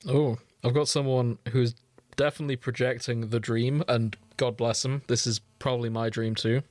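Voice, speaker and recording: A man's voice: mid-range voice, steady tone, normal speed, a little mellow, no echo. A man chats as a podcast co-host in a dry, close recording. His delivery is casual and comedic, wry and jokey, with the odd swear word.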